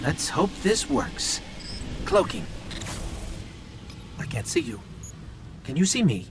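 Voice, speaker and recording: An actor playing a small, witty robot companion in a video game, chatty and anxious. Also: male voice, fairly high pitch, wildly swooping pitch, slow, gravelly, full character voice. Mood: nervous. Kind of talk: cinematic video game acting. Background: loud cinematic music.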